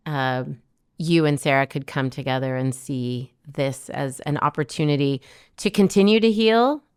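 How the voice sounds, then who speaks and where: A woman hosting a podcast interview. She speaks gently and reflectively, with frequent "um" fillers. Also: sits low, clear, resonant tone. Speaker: podcast host. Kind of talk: interviewing a guest.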